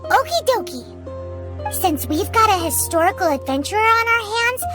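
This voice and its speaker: Tiny game fairy girl, extremely high squeaky voice, swooping intonation, chirpy, excitable, childlike. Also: normal speed, over music. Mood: curious, chirpy. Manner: chirpy, refers to self in third person, exclaims. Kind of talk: animated video game voice acting.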